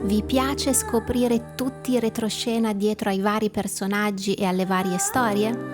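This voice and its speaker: An Italian woman hosting a gameplay channel, talking casually and informally to her viewers. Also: medium pitch. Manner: chatty, informal. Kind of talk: casual video outro to viewers. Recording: voice over prominent background music.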